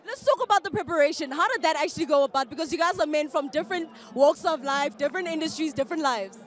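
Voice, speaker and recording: Quick, high-voiced pitchside interviewer woman. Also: a faint crowd. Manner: upbeat, quick-talking, mixes English and Zulu. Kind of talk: sports event interview. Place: outdoor stadium pitchside.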